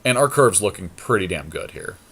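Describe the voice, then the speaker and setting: A man's voice: on the low side, animated, a little fast, fairly bright tone, slight background noise. A man co-hosting a podcast, thinking aloud in casual, decisive commentary as he plays a card game. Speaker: podcast co-host playing a card game draft. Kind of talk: casual commentary while drafting cards.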